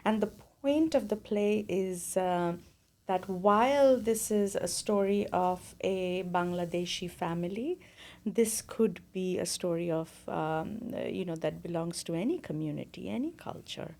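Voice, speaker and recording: A woman from India, a playwright guest, earnestly explaining her play in a measured way. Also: on the low side, creaky, mellow. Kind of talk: interview answers. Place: studio.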